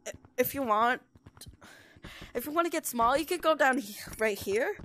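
A woman's voice: high-pitched, expressive, moderate pace, fairly bright tone. Chatty young tutorial narrator, woman. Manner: chatty, restarts sentences, explains step by step. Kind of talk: casual gameplay commentary.

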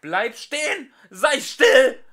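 A man voicing a selfish, scornful young wizard in a storybook, shouting harshly. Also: extremely high pitch, very animated, extremely slow, voice pushed up, heavily acted. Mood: furious. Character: selfish bad-tempered young male wizard, scornful of villagers.

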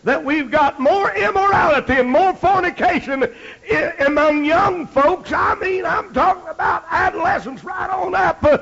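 A middle-aged American man preaching a holiness sermon, moving between folksy storytelling and loud exhortation, punctuating his phrases with amen. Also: extremely high pitch, normal speed, gravelly, a faint crowd. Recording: dull, lo-fi archival sermon recording.

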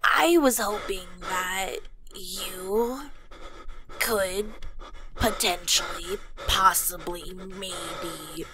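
A woman's voice: mid-range voice, drawn-out words, pushed and bright, heavily acted. A woman playing a shy, hesitant, lovestruck teenage boy in an amateur comedy machinima. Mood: nervous. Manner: hesitant, awkward.

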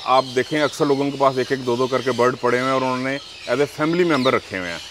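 A man from Pakistan who breeds parrots, answering interview questions calmly and explaining at length with examples. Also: medium pitch, fairly quick, noisy background.

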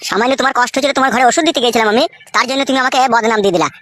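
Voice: medium pitch, very fast, a bit bright, lightly acted. A performer voicing an angry, stubborn, foul-mouthed young son-in-law who scolds and argues in a comedy cartoon. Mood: indignant. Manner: scolding, argumentative, crude.

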